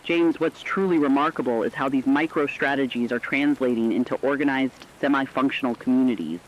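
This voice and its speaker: A man's voice: medium pitch, fairly quick, a little hiss or hum, natural voice. A man playing an earnest field correspondent, delivering a formal, articulate scripted emergency report for a radio drama. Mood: earnest, serious. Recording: slightly dull lo-fi radio sound.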